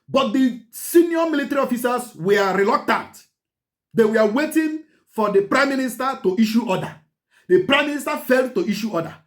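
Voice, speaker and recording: A Nigerian man with a fairly high voice talks through history in an emphatic, lecturing way. He hammers on his points by repeating them and tells his listeners to pay attention. Igbo phrases slip into his English now and then. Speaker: channel host. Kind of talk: history commentary to camera.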